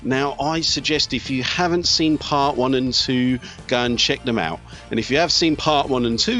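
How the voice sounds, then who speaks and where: A man's voice: medium pitch, fairly bright tone. A middle-aged man giving casual let's play commentary on a strategy game, talking conversationally as he thinks aloud. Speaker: video host, gamer. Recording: game music behind the voice.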